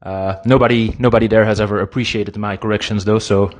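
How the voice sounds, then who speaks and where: A young Belgian man whose first language is Dutch, so a Belgian Dutch accent colors his English. His voice sits a little low. He records a relaxed voice memo and talks at an easygoing pace, pausing with plenty of fillers. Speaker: caller leaving a voice memo. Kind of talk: casual voice memo.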